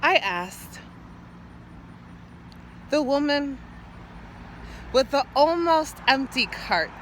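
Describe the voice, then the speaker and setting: A woman poet performing an improvised spoken-word monologue outdoors, theatrically, in short halting phrases with repeated words. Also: sits high, big pitch swings, slight background noise, low-quality recording. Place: outdoors, standing or walking.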